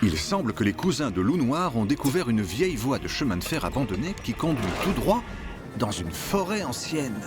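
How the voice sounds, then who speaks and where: Animated male storyteller, lively intonation. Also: mid-range voice, moderate pace, over music. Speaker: narrator. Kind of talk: scripted storytelling narration for animated film.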